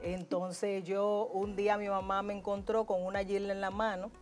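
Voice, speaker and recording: A middle-aged Dominican woman, a urologist, chatting warmly as a guest in a TV studio interview. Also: mid-range voice, little pitch movement, normal speed, soft background music. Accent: Dominican Spanish. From Dominican Republic. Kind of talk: casual interview chat. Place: TV studio set. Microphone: lapel mic.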